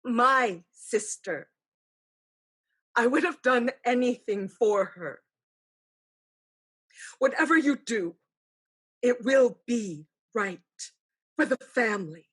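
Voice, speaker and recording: A woman acting a solemn ghostly aunt who gives measured, formal counsel in a staged play reading. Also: a little high, lively intonation, a bit bright, husky, exaggerated voice. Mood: gentle, earnest. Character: ghostly aunt spirit, solemn, counselling, haunted by lost sister.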